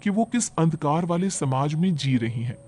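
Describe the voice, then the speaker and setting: A man's voice: on the high side, expressive, moderate pace, a little mellow, slight background noise. A man narrating a scripted cartoon story in a dramatic style.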